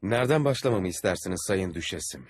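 A man's voice: deep voice, lively intonation, fast talker, husky, no room sound, clean studio sound. A man playing a seasoned Venetian explorer captain in a dubbed cartoon, earnestly recounting his adventures. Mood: earnest storytelling. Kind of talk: dubbed cartoon dialogue and storytelling. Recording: background music under the voice.

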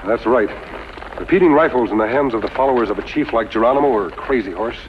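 A man's voice: mid-range voice, fast, close to an everyday voice. A man playing a noble, resolute western hero in a 1950s radio drama, with an earnest, declamatory delivery. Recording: dull 1950s radio recording, faint noise.

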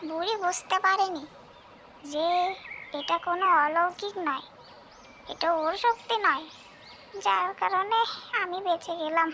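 Cartoon tree spirit, female: extremely high childlike pitch, gravelly edge, exclamatory delivery. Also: measured pace, pauses often, sharp tone, dull audio, heavily acted. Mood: exasperated grumbling. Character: female tree ghost, regretful, easily exasperated, childlike high voice. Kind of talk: cartoon fairy-tale voice acting. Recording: noisy, birdsong ambience behind.

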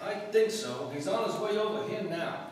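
A bossy, threatening gang chief, acted by a man talking gruff tough-guy slang in a fairly high voice. Recorded from far off in an echoey room, with long reverb and audible noise. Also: animated, moderate pace. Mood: menacing. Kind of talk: live stage recreation of 1930s radio comedy.

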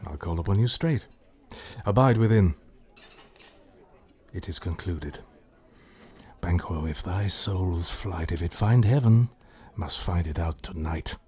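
A man's voice: deep voice, slow, long pauses, darkish tone, breathy edge, full character voice. A man playing a brooding, scheming usurper king in a classical Shakespearean radio drama. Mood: cold, plotting.

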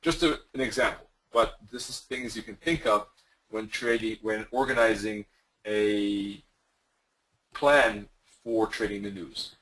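A man presenting a trading webinar in a loose, conversational way, losing his voice and clearing his throat now and then. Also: lowish voice, fairly even intonation, a little slow, a bit bright, low-quality recording. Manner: loose, conversational, with many fillers and restarts. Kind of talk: screen-share webinar explanation.

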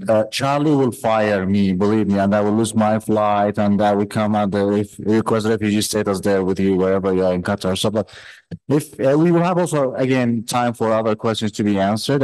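A man moderating a conference panel, hurried and joking and often restarting his sentences, speaking into a desk microphone. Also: on the low side, darkish tone, poor audio. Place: conference hall at a panel table.

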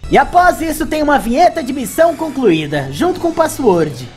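A Brazilian video game reviewer with a high-pitched voice narrates retro game commentary. Faint music plays behind the scripted delivery. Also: big pitch swings, a little fast, a little mellow. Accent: Brazilian Portuguese.

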